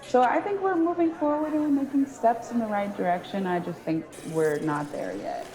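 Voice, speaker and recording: A woman's voice: on the high side, moderate pace, a crowd in the background. A woman talking casually as a panelist in a group discussion.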